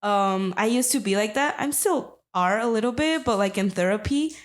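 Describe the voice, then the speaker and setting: A woman's voice: medium pitch, expressive, moderate pace. Hesitant woman. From Mexico. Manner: hesitant, many fillers and restarts. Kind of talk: casual chat.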